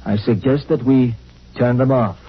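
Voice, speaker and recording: A man's voice: mid-range voice, fairly even intonation, moderate pace, slightly rough, natural voice. An actor playing a cold-blooded, moralizing spaceship crewman in a 1950s radio drama, stern and blunt in delivery. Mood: cold, resolute. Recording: muffled old radio recording.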